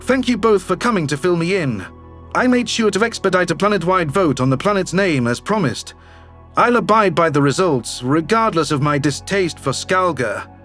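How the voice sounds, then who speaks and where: Man playing a high-voiced, guarded alien governor. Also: fairly bright tone. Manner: formal, measured, curt. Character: shifty, self-centered male alien governor, guarded and businesslike. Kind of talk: science fiction audio drama acting.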